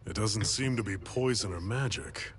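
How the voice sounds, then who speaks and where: An actor voicing a wary young fighter in a video game, probing with questions. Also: male voice, lowish voice, moderate pace, a little hiss or hum, natural voice. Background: game music.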